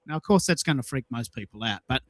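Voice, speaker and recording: A man's voice: medium pitch, animated, fast talker, dry recording, clean studio sound. A man hosting a casual audio chat, telling personal anecdotes in an emphatic way and repeating himself for effect. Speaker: host of a social audio show.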